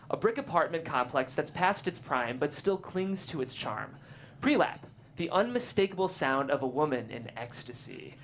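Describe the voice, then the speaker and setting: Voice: medium pitch, a little hiss or hum. A reader narrating the stage directions in a script table read.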